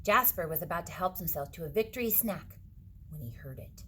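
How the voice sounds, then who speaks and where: A woman reading a picture book aloud to children, dramatically, with hushed suspenseful moments and asides to her young listeners. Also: lowish voice, expressive, brisk, a little hiss or hum. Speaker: read-aloud storyteller for children.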